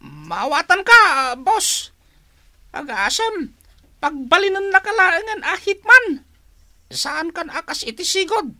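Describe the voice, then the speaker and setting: Worried excitable gang sidekick, extremely high sharp voice, animated radio drama delivery. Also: female voice, slow, no room sound, full character voice. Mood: alarmed, cautioning. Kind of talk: Ilocano radio drama acting.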